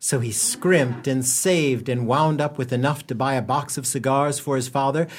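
An actor playing a droll, mock-solemn storyteller narrating a comic radio play. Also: male voice, medium pitch, steady tone, normal speed, lightly acted.

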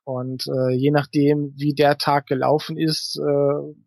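A middle-aged man, explaining things in a conversational interview with frequent hesitant pauses. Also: a little high, level tone, gravelly, a little mellow, no room sound, studio quality. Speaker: interview guest, writer.

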